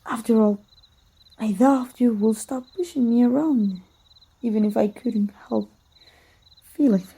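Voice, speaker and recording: A woman voicing a proud, teasing, wounded immortal warrior in an ASMR roleplay, with soft, breathy, archaic formal speech. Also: fairly high pitch, unhurried, full character voice. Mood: weary, wry.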